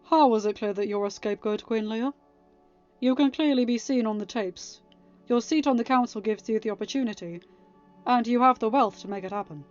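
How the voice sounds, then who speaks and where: A woman's voice: a little high, fairly quick, a little mellow, highs cut off, natural voice. Young storybook king read by a woman, firm, authoritative, formal, over faint music. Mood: stern, firm. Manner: firm, formal, hard-edged. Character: stern, commanding young king chairing a trial.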